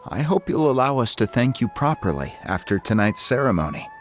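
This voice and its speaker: Lively-voiced ceremonious chief, played by a man. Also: medium pitch, close to an everyday voice. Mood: gracious. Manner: measured and formal. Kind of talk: video game voice acting. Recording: game audio with background music.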